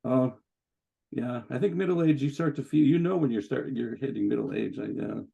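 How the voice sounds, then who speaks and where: Raspy, heavily gravelly man in his forties. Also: medium pitch, a little fast, highs cut off. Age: 40s. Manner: relaxed, hesitant, many fillers. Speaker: guest psychologist. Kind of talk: casual conversation.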